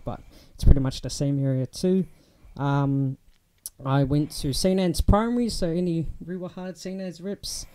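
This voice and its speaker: A young man with a New Zealand accent talks through his life in a relaxed, chatty way, often pausing on fillers. His voice sits a little high for a man and sounds soft and mellow rather than bright. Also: slight background noise. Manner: relaxed, chatty, many ums and restarts. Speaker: guest. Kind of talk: casual interview chat.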